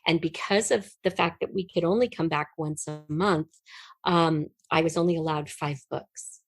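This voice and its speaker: A middle-aged woman telling personal stories as an interview guest, in an expressive way that acts out remembered dialogue. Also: deep voice, moderate pace. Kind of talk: personal storytelling in conversation.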